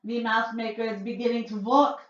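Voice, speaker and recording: Woman putting on a shrill wicked witch queen. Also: flat delivery, brisk, lightly acted. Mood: gleeful. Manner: theatrical, sugary then shrill. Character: shrieking cruel female witch leader. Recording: dull echoey home recording.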